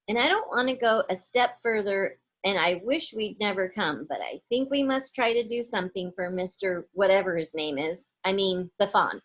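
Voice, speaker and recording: A woman's voice: medium pitch, animated, normal speed, hardly any pauses, natural voice. Woman as a cautious, hesitant storybook girl. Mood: worried. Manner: hesitant, worried.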